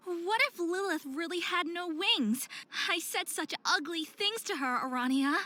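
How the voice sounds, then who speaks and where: A woman's voice: very high voice, very animated, slow pace, bright, forceful tone, full character voice. A young woman voicing a hot-headed, distraught young faerie skater in a visual novel game, with a dramatic, emphatic delivery. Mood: upset. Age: young adult.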